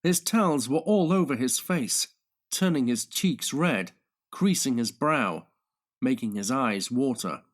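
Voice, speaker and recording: A man's voice: mid-range voice, animated, a little slow. A man narrating a thriller audiobook in a dramatic, scripted style.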